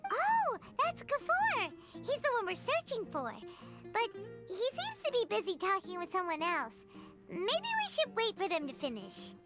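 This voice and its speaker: A woman voicing a small, cheeky, childlike fairy companion in a video game, with a squeaky, exclamatory delivery. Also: wildly swooping pitch, measured pace, over music, full character voice. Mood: excited, surprised. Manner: refers to self in third person, exclamatory.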